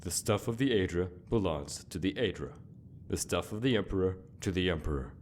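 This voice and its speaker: A deep, grave inquisitor acted by a man preaches with zealous self-righteousness. The delivery is solemn and rhetorical, like a fantasy game villain's monologue, over faint background noise. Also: expressive. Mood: solemn, accusing. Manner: solemn, deliberate, rhetorical speechmaking.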